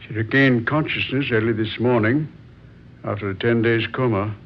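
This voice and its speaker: A serious, kindly doctor played by a male actor in a vintage radio play. His voice is mid-pitched and deliberate, with pauses. The recording is muffled with faint noise. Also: natural voice. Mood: grave. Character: grave, kindly hospital doctor. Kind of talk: old-time radio drama acting. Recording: dull, noisy old radio recording.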